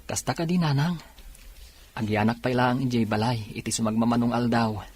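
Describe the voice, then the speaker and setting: A man's voice: medium pitch, fairly even intonation, brisk, a little mellow, slightly rough, lightly acted. A man acting in a radio drama as an earnest, devoted young policeman. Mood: reassuring.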